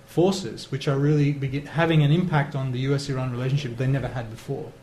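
A man giving an analytical academic talk, measured and steady, with fillers. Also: mid-range voice. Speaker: lecturer on Iran. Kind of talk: academic lecture answer. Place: lecture hall. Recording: roomy hall, faint noise.

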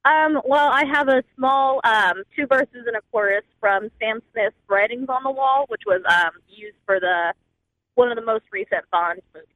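A woman in her twenties calling in by phone to audition as a singer, talking casually and haltingly. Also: fairly high pitch, a bit bright, dry recording. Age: 20s. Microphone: phone call. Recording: tinny, band-limited phone line.

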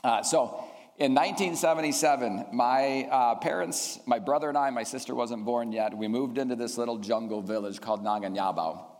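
A man's voice: medium pitch, normal speed, a little mellow. A man in his fifties, a teacher raised in Indonesia, giving an earnest, reflective talk to a school community with frequent ums. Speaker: math teacher. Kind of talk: chapel talk to students. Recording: long hall reverb.

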